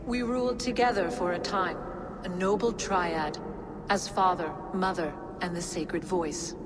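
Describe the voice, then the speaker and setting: A banished giantess sorceress , acted by a woman, speaks with grave, formal solemnity. Her pace is slow and measured, weighted with grief for her husband. It is video game drama, with clear ambient noise behind the voice. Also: fairly low pitch, fairly even intonation, lightly acted. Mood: solemn, wounded.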